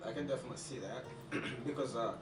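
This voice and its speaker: Male host, English, halting delivery; distant mic in an echoey room. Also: mid-range voice, background noise. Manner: hesitant, searching for words. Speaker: interview host. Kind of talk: casual interview. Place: indoor office with African decor. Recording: echoey room, mic far off, loud guitar music behind.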